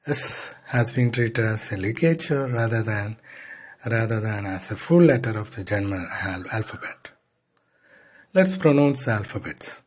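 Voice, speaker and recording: Slow, husky-voiced man teaching. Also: lowish voice, a little mellow. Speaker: language teacher. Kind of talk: slow instructional lesson with repetition.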